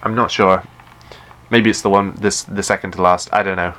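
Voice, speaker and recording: A man with a deep voice chatting casually while playing a game live, often stumbling and repeating words. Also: fairly even intonation, normal speed, fairly bright tone, a little hiss or hum. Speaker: gaming live streamer.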